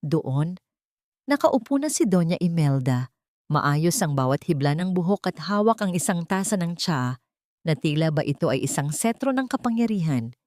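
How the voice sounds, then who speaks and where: A woman's voice: lowish voice, big pitch swings, moderate pace, darkish tone, no room sound. A woman reading a dramatic scripted story as narrator, recorded close in a dry space.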